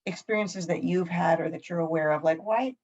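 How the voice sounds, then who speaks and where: A woman's voice: on the low side, a little fast, darkish tone. A woman hosting an interview, thoughtful and searching for her words as she asks questions. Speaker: host. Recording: dull lo-fi audio.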